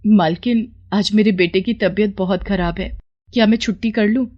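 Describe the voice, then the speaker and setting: A woman's voice: mid-range voice, fairly even intonation, moderate pace, highs cut off, close to an everyday voice. Woman acting a worried, caring poor mother. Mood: pleading. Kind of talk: moral story character voicing.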